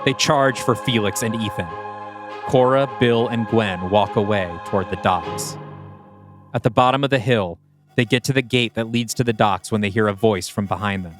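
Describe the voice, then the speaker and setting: A man with a fairly low voice narrates an audio drama in screenplay style, reading out scene action. Clear music plays behind him throughout. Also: normal speed. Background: background music.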